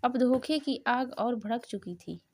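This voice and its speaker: A woman's voice: on the high side, unhurried, a little mellow. A woman narrating a scripted moral story as the storyteller.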